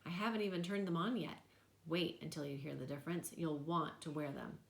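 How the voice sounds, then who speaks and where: A woman's voice: sits low, steady tone, brisk, vocal fry. Storybook male doctor voiced by a woman: easygoing, reassuring, explains things chummily, roomy recording. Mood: upbeat, coaxing.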